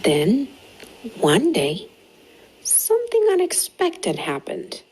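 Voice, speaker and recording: Slow, animated woman reading a children's story. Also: sits high, rough recording. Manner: slow, deliberate, animated storytelling with dramatic pauses. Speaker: storytime reader for young children. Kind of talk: expressive children's picture book read-aloud. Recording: clearly audible background noise.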